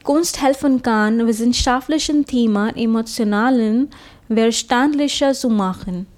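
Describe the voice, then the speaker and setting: A woman's voice: on the high side, measured pace, a little mellow. A woman interviewer asking questions in a conversational style.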